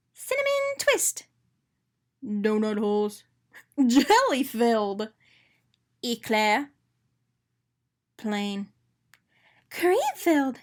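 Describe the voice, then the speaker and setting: Animated, high-voiced woman reading a storybook. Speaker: storybook reader. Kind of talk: expressive children's read-aloud narration.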